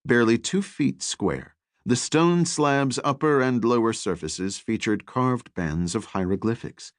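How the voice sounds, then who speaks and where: A man narrating adventure fiction as an audiobook, with a measured, deliberate and dramatic delivery. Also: medium pitch, dry recording, clean studio sound.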